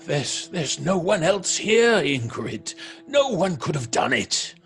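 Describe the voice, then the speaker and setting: A man playing an anxious theatre colleague in a horror drama, pleading and halting in distress. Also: a little high, sing-song, creaky, faint music behind, heavily acted. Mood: shocked, denying.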